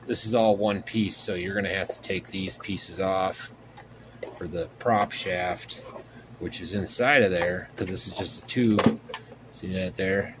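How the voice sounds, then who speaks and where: A man's voice: deep voice, noisy background. A man hosting a casual hobby video at his home workshop bench, thinking aloud with lots of fillers as he handles his project. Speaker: RC hobby channel host. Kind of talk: casual workbench show-and-tell.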